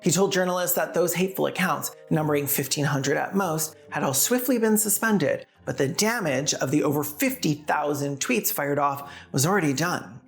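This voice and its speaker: A woman delivers scripted commentary in a low, creaky voice. She is sardonic and slips into casual asides, with faint music underneath. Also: moderate pace, few pauses. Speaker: video essayist and host.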